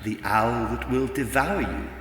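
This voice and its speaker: A man's voice: mid-range voice, a little slow, lightly acted. A man voicing a stealthy, fearless warrior hero in a children's audiobook, whispering sly taunts. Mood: sly taunting. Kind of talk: dramatic children's audiobook character voice. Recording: echoey hall reverb.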